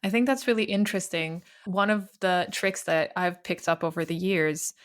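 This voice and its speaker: Woman hosting, slightly low voice, engaged and reflective. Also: moderate pace. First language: Swedish. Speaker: podcast host. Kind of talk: casual podcast conversation.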